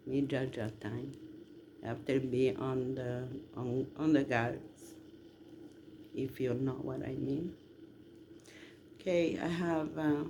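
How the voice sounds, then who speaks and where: A man from southern Italy, rambling casually to listeners in a halting, emphatic way. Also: medium pitch, vocal fry. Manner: halting, drawn-out delivery with emphatic questions. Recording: noticeable background noise.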